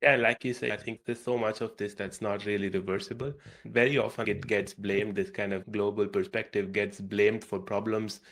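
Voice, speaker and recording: Low-voiced podcast host asking questions. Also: male voice, level tone, normal speed, gravelly, few pauses, a bit bright. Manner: thoughtful, probing questions. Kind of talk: interview questions. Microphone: video call.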